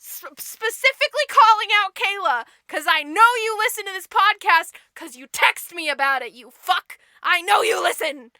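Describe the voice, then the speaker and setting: A woman's voice: extremely high pitch, expressive, normal speed, hardly any pauses, bright, forceful tone, no echo. A woman co-hosting a podcast with casual comedic banter, playful, exclamatory and freely swearing. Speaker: podcast co-host.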